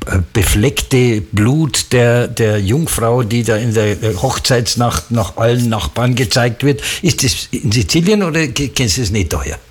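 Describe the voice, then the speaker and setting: A man's voice: medium pitch, measured pace, hardly any pauses, breathy edge. A man hosting a casual phone chat, asking probing questions. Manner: chatty, asks probing questions. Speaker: host.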